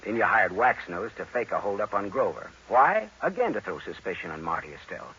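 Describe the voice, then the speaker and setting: An actor playing a tough, sardonic American private detective in 1940s radio crime drama style, with a needling, clipped delivery. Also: male voice, on the low side, big pitch swings, a little fast, pushed and bright, slightly rough, heavily acted. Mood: sardonic, taunting. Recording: muffled vintage radio recording with music.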